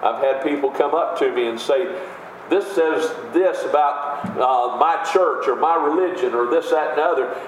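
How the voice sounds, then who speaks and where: Man, pastor, booming voice, emphatic sermon, rhetorical questions, reverberant room. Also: moderate pace, a little hiss or hum. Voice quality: big booming voice. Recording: echoey room with faint ambience.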